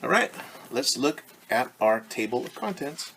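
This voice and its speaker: A man's voice: mid-range voice, sing-song, a little slow, creaky, fairly bright tone, slight background noise, rough recording. A man teaching young children, reading a picture book aloud and patiently explaining it in simple terms with questions.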